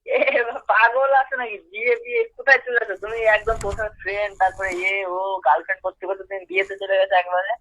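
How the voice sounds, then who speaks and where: Young male call recipient, exasperated and husky, talking fast in casual protest over lo-fi phone audio. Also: high voice, flat delivery, bright, forceful tone. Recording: dull lo-fi phone line.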